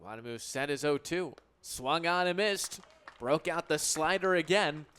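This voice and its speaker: A man's voice: on the high side, a little slow, fairly bright tone, smooth. A man calling baseball play-by-play for radio in punchy, clipped phrases that swell on big plays. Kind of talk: live sports play-by-play commentary.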